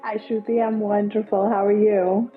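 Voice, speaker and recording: A woman's voice: medium pitch, little pitch movement, normal speed, phone-quality sound, faint music behind. A woman film director from Nepal, speaking as an interview guest in an upbeat, enthusiastic way with many fillers. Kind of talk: casual interview.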